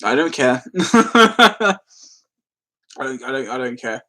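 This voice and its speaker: A man's voice: medium pitch, sing-song, takes it slowly, sharp tone. A man hosting a casual live stream, rambling as he replies to comments and easily amused. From London, England.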